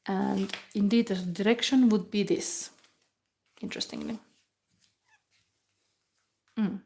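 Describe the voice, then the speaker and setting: A woman from Finland with a Finnish accent, giving a rambling, musing tarot reading in English that often drops to a soft whisper. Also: medium pitch, steady tone, takes it slowly, vocal fry. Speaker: tarot reader. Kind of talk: live pick-a-pile tarot reading chat.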